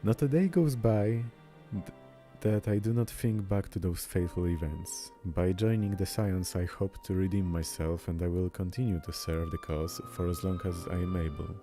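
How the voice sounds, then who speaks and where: A man's voice: sits low, moderate pace, few pauses, warm tone. A man reading game dialogue aloud as a streamer, sometimes stumbling over words and repeating them, with game music behind him.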